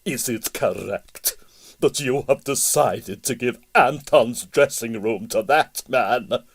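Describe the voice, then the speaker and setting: A man's voice: mid-range voice, slow, slightly rough. A man voicing a large, formidable ballet mother in a storybook, imperious and indignant, with emphatic delivery. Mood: furious.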